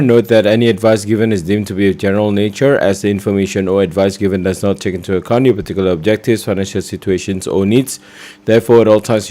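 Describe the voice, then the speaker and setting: A man's voice: fairly low pitch, darkish tone. A man losing his voice, presenting a live forex trading session and explaining charts step by step with many fillers.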